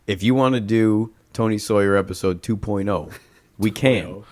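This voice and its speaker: Low-voiced profane man in his thirties. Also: normal speed, a little mellow. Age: 30s. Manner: loose, profane, laughs often. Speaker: podcast host. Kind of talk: casual podcast chat.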